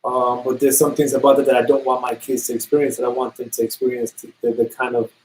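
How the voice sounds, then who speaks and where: A man's voice: mid-range voice, monotone, fast talker, darkish tone, low-quality recording. A man from New York co-hosting a casual talk show, often repeating and restarting phrases. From New York City. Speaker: podcast co-host. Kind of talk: casual conversation.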